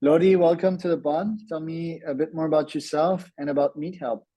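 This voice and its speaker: A man's voice: fairly high pitch, fairly even intonation, a little fast, dark tone, rough recording. A thirty-year-old man hosting a podcast, introducing the show and chatting conversationally with his guest. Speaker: podcast host.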